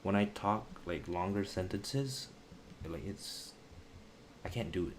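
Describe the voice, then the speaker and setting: A young man chatting casually on a live stream, pausing often to search for words and using a lot of slang. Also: deep voice, slight background noise.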